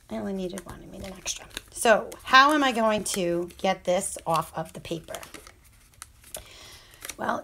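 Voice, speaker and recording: A woman with a scratchy voice talks through a paper-craft project at a slow, unhurried pace. She chats casually, apologizes often and murmurs half to herself as she works. Faint clicks of tools and paper sound behind her. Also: fairly low pitch, vocal fry, fairly bright tone. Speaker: crafting demonstrator. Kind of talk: casual hands-on craft tutorial.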